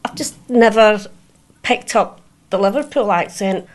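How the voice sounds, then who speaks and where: A woman's voice: mid-range voice, unhurried. A middle-aged woman with a Scottish accent, answering interview questions in a light, slightly hesitant way.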